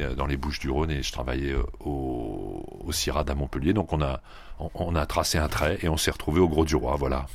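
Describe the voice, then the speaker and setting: A man in his fifties from the Paris region, an agronomist answering interview questions in a measured way, with frequent hesitations and restarts. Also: rumbling low. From Paris region, France. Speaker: agronomist researcher, guest.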